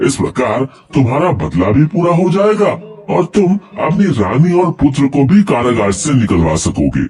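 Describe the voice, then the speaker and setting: A man's voice: mid-range voice, very animated, normal speed, darkish tone, voice pushed up, lightly acted. A man voicing an old hermit guru in a cartoon moral story, giving formal, commanding and scheming instructions. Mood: instructive, scheming.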